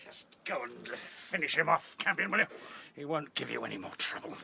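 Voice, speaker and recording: A man's voice: a little high, animated, brisk, creaky, bright, forceful tone, breathy edge, full character voice. A man playing a ruthless, domineering rabbit general in a radio drama, brusque and commanding. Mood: menacing, angry.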